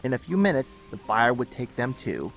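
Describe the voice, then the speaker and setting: A man narrates a dramatic story from a script. His mid-pitched voice carries the prose, with faint music playing underneath. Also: very animated, normal speed, vocal fry, a bit bright.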